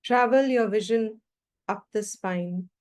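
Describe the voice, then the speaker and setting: A woman leading a guided meditation as a teacher, giving short, calm, measured instructions with long pauses between them. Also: medium pitch.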